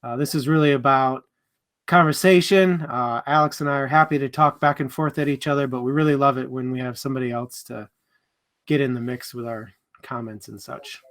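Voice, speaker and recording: A man's voice: medium pitch, fairly even intonation, a little fast, fairly bright tone. A man co-hosting a live book discussion stream, talking casually and in a friendly, informal way to viewers.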